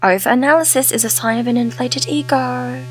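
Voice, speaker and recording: A woman playing a quick-witted, teasing female companion in an audio drama, with a sardonic way of talking. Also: high-pitched, steady tone, faint music behind, close to an everyday voice.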